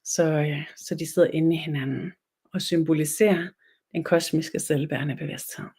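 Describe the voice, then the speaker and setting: A woman with a Danish accent, whose first language is Danish, teaching a spiritual webinar in a slow, meditative way and often searching for words. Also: deep voice, fairly even intonation, a little mellow, husky.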